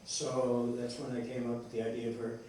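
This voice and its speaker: Echoey, halting, flat-toned man. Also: medium pitch. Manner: halting, searching for words, self-correcting. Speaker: playwright discussing his play. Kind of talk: rambling post-show discussion. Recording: echoey room, distant mic, faint noise.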